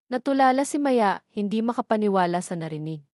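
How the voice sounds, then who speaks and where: A woman's voice: mid-range voice, lively intonation, brisk, a little mellow, dry recording, studio quality. A woman reading a scripted romance story as a dramatic narrator.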